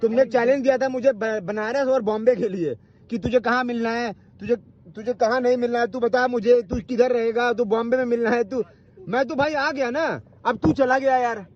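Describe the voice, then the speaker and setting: A man with a strikingly high voice argues on a phone call, in dull, low-fidelity sound. He is confrontational, pressing and repeating his points with coarse language and interruptions. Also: moderate pace. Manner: confrontational, repetitive, interrupting, swearing. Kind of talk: heated argument on a recorded phone call.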